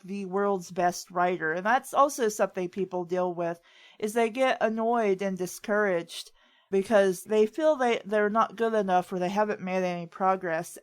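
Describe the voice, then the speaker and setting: A woman hosting a casual chat and giving advice in a conversational, rambling way, often acting out what others say. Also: mid-range voice. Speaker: host of a writing advice talk.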